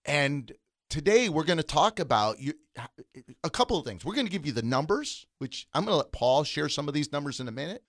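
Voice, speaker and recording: A man's voice: a little high, very animated, a little fast, no echo, clean studio sound. A man co-hosting a retirement finance radio show, talking in an emphatic, persuasive way. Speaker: co-host, financial instructor.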